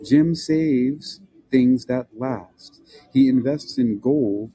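Older man with a mellow, husky voice. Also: mid-range voice. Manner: measured, rhetorical question-and-answer delivery. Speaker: podcast host. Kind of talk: reading a prepared essay aloud.

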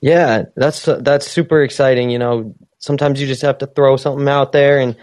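A man hosts a casual call-in show in a middle-pitched voice. He is upbeat and encouraging, and the sound is dry and close, with no room around it. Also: steady tone, a little fast, a little mellow, no echo. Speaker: radio show host. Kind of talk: casual interview hosting.

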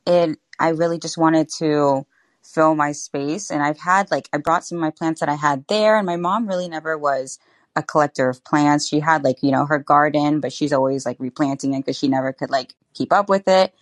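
A young woman chats as a podcast guest, her voice low for a woman. She talks in a bubbly, informal way, with lots of "like" and quick asides. The sound is dry and close to the mic. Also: fairly even intonation, no echo. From Florida. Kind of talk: casual interview chat.

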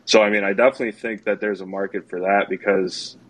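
A man's voice: fairly low pitch, fast talker. A man co-hosting a podcast, talking casually with many hedges and fillers. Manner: relaxed, hedging, many fillers. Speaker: podcast co-host. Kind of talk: casual chat.

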